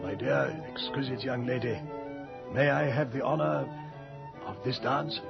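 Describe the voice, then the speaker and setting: Creaky, gallant army colonel. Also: male voice, mid-range voice, measured pace. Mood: courtly. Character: gallant, dignified army colonel, nervously courtly. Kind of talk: radio horror drama acting. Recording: dull 1980s radio recording with music.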